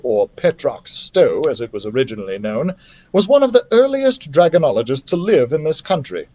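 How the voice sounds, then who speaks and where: A man reading a children's adventure novel aloud as a story-time host, with an animated, theatrical delivery. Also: a little high.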